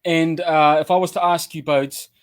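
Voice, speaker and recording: A man's voice: fairly high pitch, moderate pace, no room sound. Upbeat Kiwi man hosting a radio chat. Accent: New Zealand. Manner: upbeat, relaxed slang, frequent restarts. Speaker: show host.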